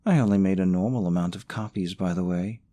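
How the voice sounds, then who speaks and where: A man's voice: low-pitched, fast talker, dark tone, lightly acted. A young man acting in an audio roleplay as a scheming, possessive boyfriend, falsely sweet and coaxing, snide about his rival. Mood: scheming, pleading.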